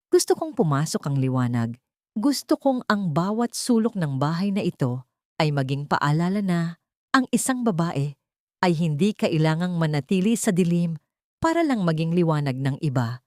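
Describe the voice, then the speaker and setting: A woman's voice: on the low side, sing-song, normal speed, a little mellow, no room sound, clean studio sound. Woman reading as a serene, resolute Filipino businesswoman. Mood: calm resolve. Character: wronged wife reborn as resolute, serene businesswoman.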